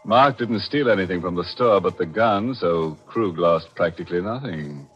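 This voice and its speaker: An actor playing a tongue-in-cheek ghoulish host of a 1940s radio mystery show, darkly amused and mock-sympathetic. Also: male voice, low voice, expressive, normal speed. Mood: ghoulishly amused. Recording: muffled old radio recording with music.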